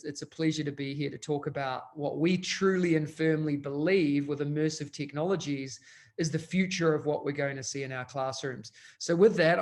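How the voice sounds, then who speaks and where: Male host presenter, slightly high voice, filler-heavy delivery. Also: creaky. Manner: fluent but full of uh and um fillers. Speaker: education consultant and host. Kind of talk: conference presentation.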